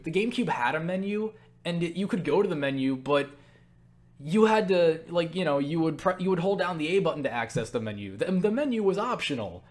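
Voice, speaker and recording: Casual, rambling man answering questions. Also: fairly high pitch. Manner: stumbles over words, self-interrupting; blunt, swears freely. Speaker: YouTuber answering viewer questions. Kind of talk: casual rambling Q&A monologue to camera.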